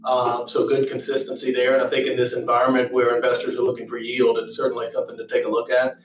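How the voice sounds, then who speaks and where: A man, a company executive, explaining business points in a measured way during an investor interview. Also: medium pitch, completely flat delivery. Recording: distant echoey call audio.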